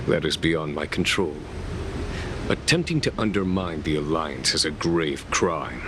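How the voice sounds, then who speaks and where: An actor playing a shrewd, composed general in a video game, speaking in a measured, wry and formal way. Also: male voice, a bass voice, expressive, background noise, full character voice. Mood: stern. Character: shrewd, composed male general interrogating a prisoner.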